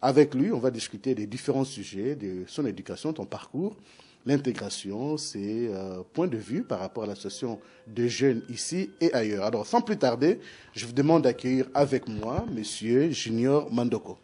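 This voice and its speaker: A man's voice: medium pitch, expressive, moderate pace, dark tone, husky. A man hosting a TV interview, speaking formally and addressing the audience directly. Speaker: TV interview host.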